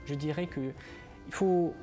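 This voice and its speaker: A man's voice: a little high, slow pace, dark tone, soft background music. A young man from Uzbekistan with an Uzbek accent, a guest on a TV morning show, answering in French as a second language with many hesitations and restarts. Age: 20s. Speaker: guest, master's student.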